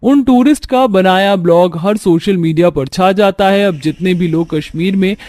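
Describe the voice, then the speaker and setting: A man's voice: high-pitched, moderate pace, darkish tone. A man reading scripted narration for a moral story, in the role of storyteller.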